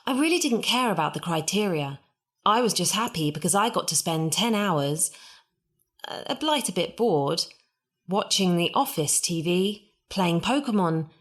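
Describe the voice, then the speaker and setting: Woman reading out a first person story, kinda low voice, conversational. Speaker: story reader.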